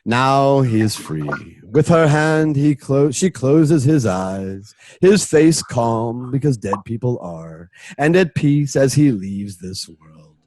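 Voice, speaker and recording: A man's voice: mid-range voice, sing-song, slow pace, hardly any pauses, darkish tone, slight background noise. A man narrating a radio play script in a loose, comic way, dropping wry, casual asides.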